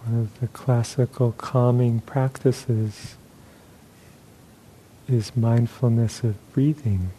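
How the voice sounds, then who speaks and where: A man leading a guided meditation as a teacher, speaking calmly and gently in measured phrases with pauses. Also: mid-range voice, a little hiss or hum, poor audio.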